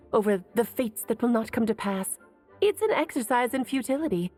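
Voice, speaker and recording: Playful witchy mom from a video game, eloquent, teasing, highish voice, some music under it. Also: a little mellow. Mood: teasing, musing. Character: playful, worldly sorceress mother, airy and theatrical. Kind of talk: video game character acting.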